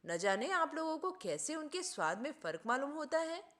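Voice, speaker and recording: Woman reading as a polite, animated gentleman guest. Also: high-pitched, normal speed, voice pushed up. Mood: consoling. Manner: polite, reassuring. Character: polite, easygoing gentleman guests consoling their host.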